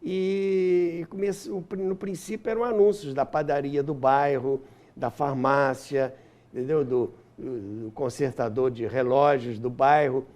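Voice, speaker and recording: A man's voice: medium pitch, steady tone, a little slow, darkish tone. A man in his seventies with a Brazilian accent, reminiscing in an interview in an emphatic, conversational way. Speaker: interview guest, radio veteran and author.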